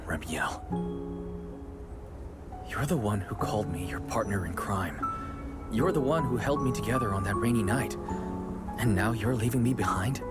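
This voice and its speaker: An actor playing a loyal, stubborn young partner in crime in a video game, speaking with earnest, determined emotion. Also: male voice, on the low side, close to an everyday voice. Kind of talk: dramatic video game voice acting. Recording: loud music behind the voice.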